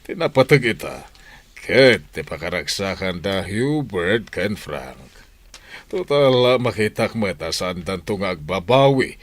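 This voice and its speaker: A man playing a calm, conciliatory older village father in an Ilocano radio comedy drama, reasoning mildly. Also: low-pitched, takes it slowly, full character voice. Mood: placating.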